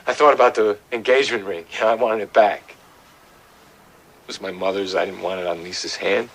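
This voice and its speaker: An actor playing an embittered American fiance in his twenties, halting and defensive under police questioning in an audio drama. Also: fairly low pitch, fairly even intonation, low-quality recording. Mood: bitter, anguished.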